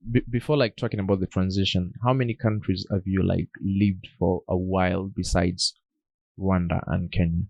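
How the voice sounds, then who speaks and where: A man's voice: deep voice, fairly even intonation, normal speed, creaky, a little mellow, dry recording. A man hosting a podcast, asking casual questions with frequent short backchannels and laughs. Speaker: podcast host. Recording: dull, dry lo-fi recording.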